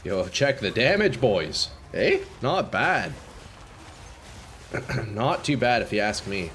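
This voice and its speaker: A lowish male voice streaming live gameplay, chatty and loose, dropping swears and calling his viewers "boys." He sounds a little stuffed up and keeps clearing his throat. Faint ambience hums under him. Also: very animated, slow, fairly bright tone, a little hiss or hum. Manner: casual, swears, talks to chat as "boys". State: congested, clearing throat often. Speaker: game streamer.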